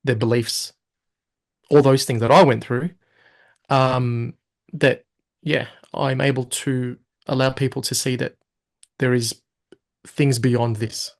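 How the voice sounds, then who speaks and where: A man's voice: mid-range voice, slow pace, creaky, pauses often. A man, a guest telling his story in a casual interview, acting out his own past thoughts. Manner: animated storyteller, quotes himself in lively asides.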